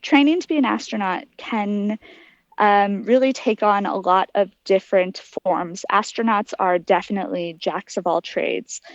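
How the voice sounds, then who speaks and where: A woman's voice: mid-range voice, measured pace, no echo, dull audio. An American woman giving informal, detailed and articulate explanations as an interview guest. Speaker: interview guest, aspiring astronaut.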